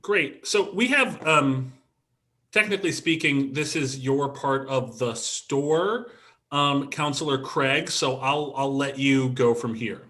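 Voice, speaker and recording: Bright-voiced man chairing a meeting. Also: mid-range voice, slow pace. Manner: hesitant, with frequent ums and restarts. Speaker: council president chairing a meeting. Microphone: video call.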